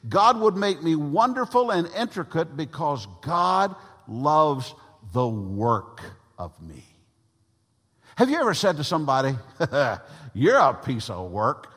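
A man preaching from the pulpit with a big voice that rises and drops with lively, animated pitch. His tone is somewhat husky, and his words ring out through a church hall with a long reverb behind them. He shifts between loud, stressed phrases and softer asides to the room. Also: takes it slowly. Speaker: pastor. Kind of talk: sermon. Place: church sanctuary.

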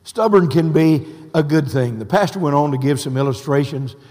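An older man, preaching a sermon in a folksy, unhurried way with a hoarse, scratchy voice. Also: fairly high pitch, darkish tone. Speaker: pastor. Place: church sanctuary. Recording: long reverb of a church hall.

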